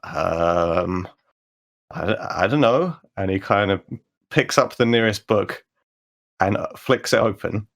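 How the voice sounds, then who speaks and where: A male roleplay player with plenty of vocal fry and a somewhat low pitch. He narrates his character's actions informally, thinking them up as he goes. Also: takes it slowly, a bit bright.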